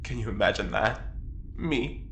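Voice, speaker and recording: A woman's voice: extremely low pitch, a little slow, slight background noise. A woman playing a charming, wry older prisoner in an audio drama, a theatrical rebel who teases and drawls. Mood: mock indignant.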